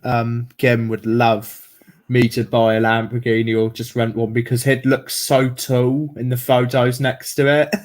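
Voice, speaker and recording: A man chats loosely and jokily as on a livestream. His accent blends South African, English, American and Irish. The voice sits at a medium pitch and wanders through casual, rambling stories. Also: level tone, a little slow. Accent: mixed South African, English, American and Irish. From South Africa, living in England.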